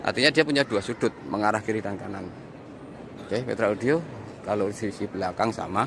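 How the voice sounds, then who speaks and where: A man giving an improvised walk-through review, explaining in a halting, repetitive way as he points things out, recorded on location. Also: fairly low pitch, gravelly, background noise. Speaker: audio reviewer and vlog host. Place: inside a large mosque, walking around.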